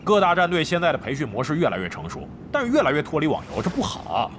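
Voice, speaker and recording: A man's voice: a little high, huge pitch swings, fast, background noise. An actor playing a brash, crafty middle-aged veteran gamer in a Chinese audio drama, cocky and slangy, grumbling and swearing as he banters. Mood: opinionated.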